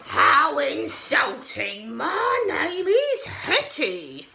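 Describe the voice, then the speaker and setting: A big, touchy female storybook monster, put on by a woman, grumpy and dramatic. She sounds indignant and forceful, dragging out her words with big swings in pitch. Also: wildly swooping pitch, drawn-out words, creaky, echoey room, exaggerated voice.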